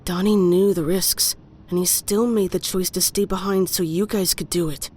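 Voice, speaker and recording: A woman's voice: fairly low pitch, steady tone, moderate pace, a little mellow, lightly acted. A woman playing a caring young mutant fox in an audio drama, earnest and firm in her delivery. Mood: firm, insistent.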